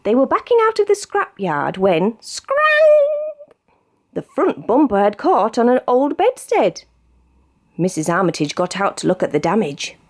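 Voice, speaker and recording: British woman reading a children's story, very animated. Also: fairly high pitch, unhurried. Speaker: storybook reader. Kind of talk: expressive children's read-aloud narration.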